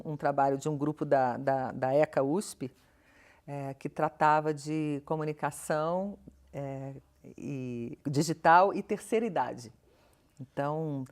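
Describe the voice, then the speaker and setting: A woman's voice: low voice, a little mellow. A Brazilian woman speaking as an academic interview guest, explaining her views in an articulate, questioning way with emphasis on key words. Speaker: interview guest, professor and researcher. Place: television studio interview.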